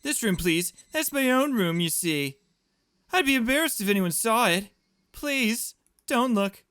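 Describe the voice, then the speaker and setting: A man's voice: very high-pitched, lively intonation, unhurried, sharp tone, clean tone, dry recording, voice pushed up, full character voice. Man putting on a coy, lovestruck visual novel character. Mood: coy, flustered.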